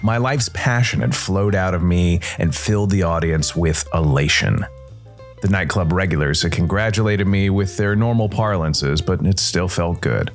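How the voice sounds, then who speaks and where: A man playing a brooding jazz musician turned supernatural investigator, telling his case in measured first-person noir narration. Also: deep voice. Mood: reflective, uneasy.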